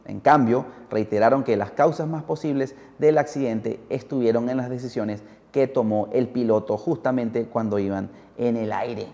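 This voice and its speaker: A man's voice: mid-range voice, moderate pace, hardly any pauses, mellow. A man hosting a podcast, narrating from a script in a storytelling style. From Peru. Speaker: podcast host. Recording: muffled, heavy hall-like reverb.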